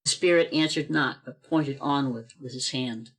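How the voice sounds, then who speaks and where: A man narrating an amateur radio play in a steady storytelling manner, recorded over a video call. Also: mid-range voice, normal speed. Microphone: home video call mic.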